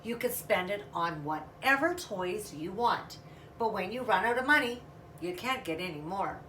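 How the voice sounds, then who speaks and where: A woman's voice: medium pitch, animated, moderate pace, sharp tone. A woman voicing a patient mother bunny in a storybook, explaining things with emphasis. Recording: roomy, distant mic, faint noise.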